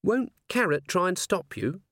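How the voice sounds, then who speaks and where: A man's voice: high voice, big pitch swings, a little slow, fairly bright tone, no room sound, clean studio sound, voice pushed up, exaggerated voice. A man voicing a shy, nervous young female dwarf constable who is awkwardly polite and stammers hesitantly in a storybook reading. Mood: shy concern. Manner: hesitant, stammering, fumbling over words.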